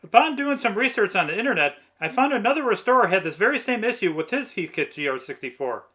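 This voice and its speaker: This is a man with a notably high, bright voice. He reads scripted tutorial narration as a hobbyist restorer explaining technical work. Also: big pitch swings, fast talker, husky. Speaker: narrator, vintage radio restorer.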